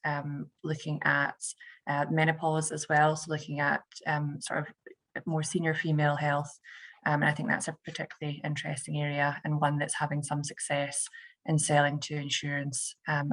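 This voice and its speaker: Low, gravelly woman investor. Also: fairly bright tone. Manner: measured, thinking aloud with many fillers. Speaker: venture investor on a panel. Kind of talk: panel discussion answer.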